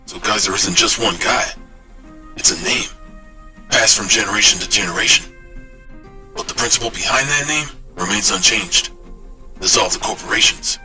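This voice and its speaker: A man's voice: lowish voice, moderate pace, pauses often, pushed and bright, soft background music. An actor playing a loyal, shaken crewman in a dramatic cyberpunk game cutscene. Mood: thoughtful.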